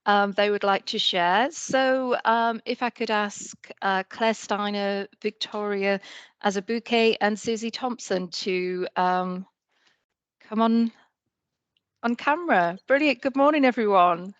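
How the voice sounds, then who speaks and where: Woman host with a croaky voice, upbeat, dry close sound on a video call. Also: medium pitch, slow pace, dry recording. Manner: upbeat, gushing, hesitant with ums. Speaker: panel moderator, HR director. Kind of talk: moderating a panel.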